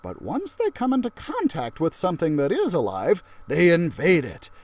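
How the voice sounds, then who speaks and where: A man's voice: fairly high pitch, wildly swooping pitch, normal speed, dry recording, voice pushed up, exaggerated voice. A man voicing an upbeat, theatrical female science teacher from a storybook, explaining things with emphasis. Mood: explanatory.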